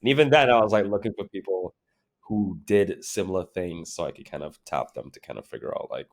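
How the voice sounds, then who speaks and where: Low, gravelly male guest from Trinidad and Tobago; relaxed, reflective, filler-heavy delivery. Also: brisk. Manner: relaxed, reflective, many fillers like "like" and "you know". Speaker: guest, creative director. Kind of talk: casual interview conversation.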